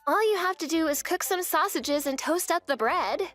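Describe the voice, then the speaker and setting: A woman voicing a brisk, bossy sister-in-law who lectures in a manga dub. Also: extremely high pitch, animated, a bit bright, soft background music, pitched above the performer's own voice. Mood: lecturing.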